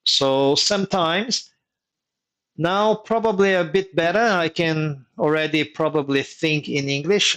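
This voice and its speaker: A man whose first language is Portuguese gives advice to engineers in a live online session. His voice sits a little higher than most men's. He talks in a methodical, teaching way and comes back to his key points so they stick. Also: a bit bright, clear, resonant tone. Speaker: engineering migration mentor hosting a workshop.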